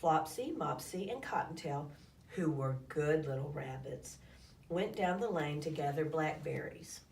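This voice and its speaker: A woman with a slightly low voice reads a children's storybook aloud. She tells it expressively and with some drama. She is recorded at a distance from the microphone in an echoey room. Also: expressive, a little slow, creaky, a little mellow, slight background noise. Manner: dramatic, acts out calls and sound effects.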